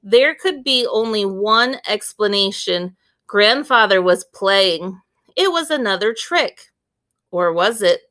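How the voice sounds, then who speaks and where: A woman reading a children's book aloud as an expressive storyteller, with deliberate, clear phrasing. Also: mid-range voice.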